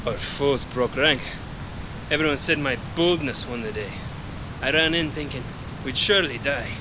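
A humble, battle-worn knight played by a male actor speaks with grave, old-fashioned formality. It is fantasy audio drama, with heavy rumbling noise behind the voice. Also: moderate pace, close to an everyday voice. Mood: somber confession. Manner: formal, solemn archaic phrasing. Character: weary, honorable old-soldier knight, humble and guilt-ridden. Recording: noisy, rumbling storm effects behind.

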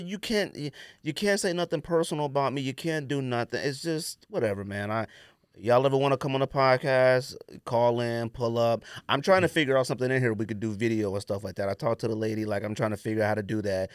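A man's voice: mid-range voice, quick pace, clean tone, no echo, studio quality. A man hosting a podcast in casual, slangy chat, blunt and emphatic, often repeating his phrases for effect. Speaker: podcast host.

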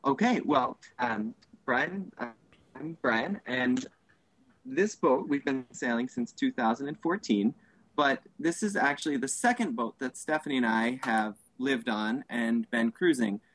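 A man giving a casual, halting interview answer as a podcast guest. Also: medium pitch, lively intonation, a bit bright, no echo, poor audio.

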